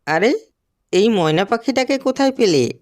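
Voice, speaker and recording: A man voicing a young village daughter in a cartoon story, caring, worried and soft-hearted. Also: high-pitched, animated, normal speed. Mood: caring, curious. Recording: music behind the voice.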